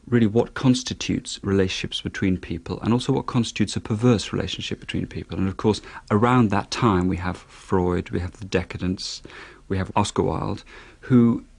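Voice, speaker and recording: A male psychotherapist reflects thoughtfully in interview answers. His low voice is gravelly and husky. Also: a little mellow.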